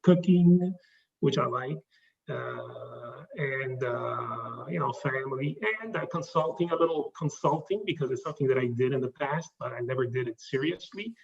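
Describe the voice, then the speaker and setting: A man with an Italian accent giving a pitch presentation, talking haltingly with many fillers and restarts. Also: vocal fry, darkish tone, recorded from a distance, highs cut off. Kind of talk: pitch presentation to a live audience.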